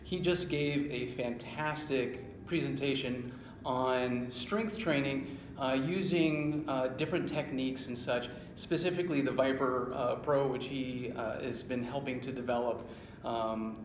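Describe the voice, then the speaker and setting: Halting male interviewer in a noisy, echoey room. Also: fairly even intonation. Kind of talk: conference interview introduction. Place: indoor room at a conference. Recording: roomy echo, clear background rumble and noise.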